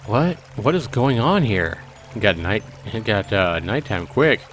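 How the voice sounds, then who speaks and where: Low-voiced man reading a visual novel. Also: very animated, a little slow, fairly bright tone, faint music behind. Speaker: let's play host. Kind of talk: expressive read-aloud of a visual novel with casual commentary.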